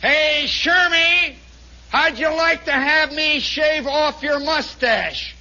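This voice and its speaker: Man acting out young American army lout, very high strained voice, loud mocking holler, muffled noisy recording. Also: brisk, exaggerated voice. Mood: taunting. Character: jeering young male barracks soldiers taunting a comrade. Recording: muffled recording with clear background noise.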